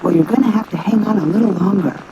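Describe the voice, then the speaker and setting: A woman's voice: mid-range voice, fast, highs cut off, heavy background noise. A woman voicing a cocky, breezy space superheroine speaking over a breaking-up radio transmission. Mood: teasing. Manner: breezy, teasing.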